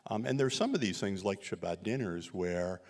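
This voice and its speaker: A man, a visiting researcher, answering questions after a community talk, speaking haltingly with many fillers and restarts. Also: sits low. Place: synagogue hall. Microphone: handheld microphone. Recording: long hall reverb.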